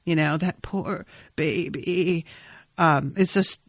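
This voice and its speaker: A woman hosting a podcast, casually recapping an episode in an animated, amused, sometimes sweary way. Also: deep voice, slow pace, no echo, clean studio sound. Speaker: podcast host.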